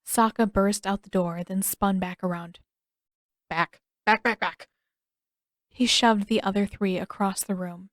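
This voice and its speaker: A woman's voice: medium pitch, fairly even intonation, moderate pace, hardly any pauses, no room sound, clean studio sound. A woman reading scripted fiction as an audiobook narrator.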